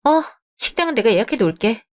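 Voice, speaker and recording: A pushy middle-aged mother-in-law-to-be, voiced by a woman in a radio drama, with a raised, higher-pitched voice. She is blunt and patronizing, a mother who looks down on her son's bride. Her apologies come out stiff. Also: big pitch swings, fast.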